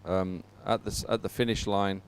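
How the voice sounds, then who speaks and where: A man's voice: on the low side, flat delivery, moderate pace, clear, resonant tone. A man presenting live coverage of a sporting event to viewers, talking as a broadcast host.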